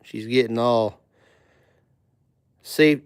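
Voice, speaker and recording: A man's voice: medium pitch, very slow, clear, resonant tone, dry recording, clean studio sound. A man, co-hosting a livestream, greeting viewers cheerfully and teasing his partner. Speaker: livestream co-host. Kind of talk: casual livestream chat.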